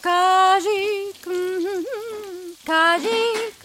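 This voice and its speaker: An actress playing a nagging, fussy older aunt in a comic radio play, sing-song and scolding. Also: female voice, very high voice, fairly even intonation, very slow, music in the background, heavily acted. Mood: sing-song coaxing. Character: nagging, fussy older aunt waking her grown nephew.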